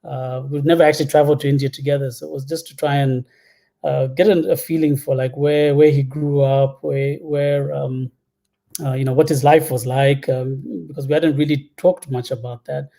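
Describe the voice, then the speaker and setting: A man's voice: mid-range voice, steady tone, normal speed, creaky, dark tone. A man in his thirties from Zambia, telling personal stories casually and hesitantly as an interview guest. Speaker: interview guest, product executive. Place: indoor room at home, on a video call.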